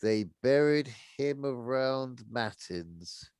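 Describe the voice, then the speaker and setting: A man's voice: medium pitch, animated, slow, darkish tone, clean tone, no room sound, clean studio sound. A man reading a story aloud unrehearsed, narrating and now and then stumbling over words and rereading. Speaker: reader of a book aloud.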